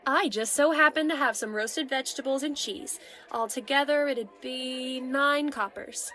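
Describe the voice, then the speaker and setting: Affectionate older barmaid-proprietor acted by a woman, chatty and teasing, fairly high pitch, fantasy audio drama. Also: measured pace, bright, forceful tone. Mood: friendly, chatty. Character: motherly, teasing aged female tavern keeper.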